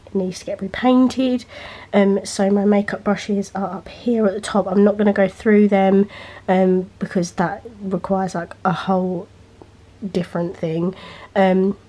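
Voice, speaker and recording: A woman's voice: mid-range voice, level tone, unhurried, mellow. A young woman, a beauty vlogger, chatting casually with lots of fillers while filming handheld in her bedroom, sounding nasal after being ill. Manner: rambling, filler-heavy, chatty. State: nasal, recovering from illness. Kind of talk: casual chat. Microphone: handheld camera, freehand.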